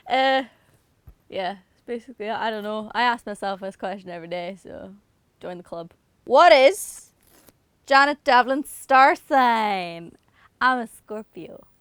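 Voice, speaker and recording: A woman's voice: fairly high pitch, lively intonation, unhurried, clear, resonant tone, no room sound. A young woman from Ireland with an Irish accent, chatting casually and self-mockingly to camera as she answers questions about herself. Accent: Northern Irish. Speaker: singer answering autocomplete questions.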